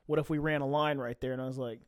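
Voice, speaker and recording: An American man chatting casually as a fill-in podcast guest, telling stories in an animated way and often quoting what was said. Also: mid-range voice, fast talker. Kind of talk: casual chat, storytelling.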